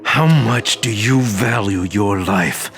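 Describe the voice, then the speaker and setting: A man's voice: on the low side, takes it slowly, a bit bright, soft background music. A man acting a brooding, obsessed avenger in a radio drama, intense and menacing. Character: brooding, obsessed male avenger hunting a phantom.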